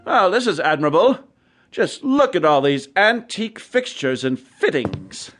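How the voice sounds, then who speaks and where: An actor playing a breezy, sceptical American family man in an audiobook drama, brisk and folksy, with an American accent. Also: fairly high pitch, big pitch swings. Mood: hearty, boastful. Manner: brisk, folksy, unimpressed, hearty. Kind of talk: audiobook radio-play acting. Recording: faint music under the voice.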